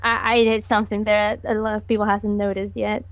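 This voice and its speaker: A woman's voice: medium pitch, level tone, brisk, no echo. A woman animator answering interview questions in an earnest way, giggling often and trailing off. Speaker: animator guest.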